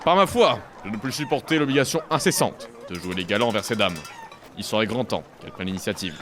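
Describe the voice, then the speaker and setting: Male actor as proud grumbling knight, lowish voice, quick pompous archaic delivery, comic audio play. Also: animated, crowd noise, lightly acted. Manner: pompous, mock-archaic diction. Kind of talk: comic audio drama acting.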